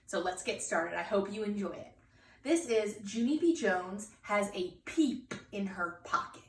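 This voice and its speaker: Female storybook reader for young children: expressive, emphatic, a bit distant from the mic with faint noise. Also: mid-range voice, unhurried, roomy sound. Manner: emphatic, addresses children directly. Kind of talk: expressive read-aloud narration.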